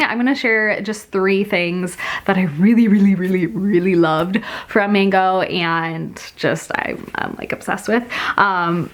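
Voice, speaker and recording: A woman's voice: mid-range voice, fairly bright tone. Stuffy-nosed young woman vlogger with a cold, gushy and chatty, lots of likes and ums, laughs a lot. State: congested with a cold. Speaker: beauty and fashion vlogger. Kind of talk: casual haul chat to camera.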